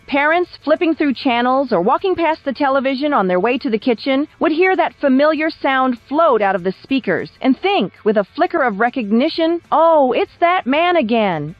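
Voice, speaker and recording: A woman reading scripted documentary narration in a measured, literary storytelling manner. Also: high-pitched, no echo, lo-fi sound. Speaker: narrator of video essay. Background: background music.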